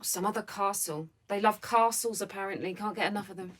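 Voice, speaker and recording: A woman's voice: medium pitch, a little fast, no echo, natural voice. A woman playing a cheeky, reluctant storyteller, narrating a satirical unfairytale with wry, informal asides. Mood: dry, resigned.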